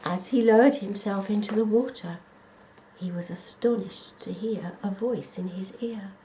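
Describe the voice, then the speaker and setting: A woman reading a children's story aloud in an expressive narrating style. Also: mid-range voice, a little slow, slight background noise, poor audio. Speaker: story reader.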